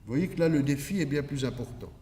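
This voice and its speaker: A man's voice: medium pitch, lively intonation, fast, dark tone. A man from Switzerland giving an academic conference lecture in a didactic, emphatic manner with rhetorical asides. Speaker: academic keynote speaker. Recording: echoey room, distant microphone.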